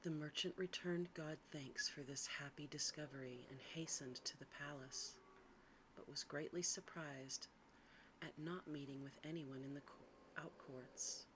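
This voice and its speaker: A woman reads a bedtime story in ASMR style, in a soft, breathy, hushed and soothing whisper. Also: sits low, steady tone, a little slow, low-quality recording. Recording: clearly audible background noise.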